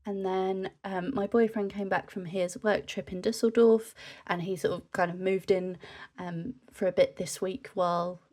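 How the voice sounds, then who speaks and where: A young woman from the UK, a scrapbooking vlogger narrating casually, her voice nasal from a cold, chatting in a relaxed way with frequent ums. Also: mid-range voice, moderate pace. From United Kingdom.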